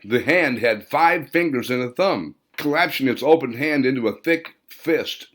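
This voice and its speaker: A man reading his own novel aloud as a dramatic audiobook, phrasing deliberately and drawing out words for emphasis. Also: mid-range voice, animated, a bit bright. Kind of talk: dramatic scripted audiobook narration.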